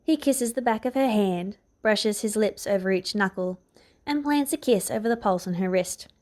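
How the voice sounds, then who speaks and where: A woman's voice: medium pitch, moderate pace, a little mellow. A woman narrator reading a scripted piece of fiction aloud. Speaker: podfic narrator.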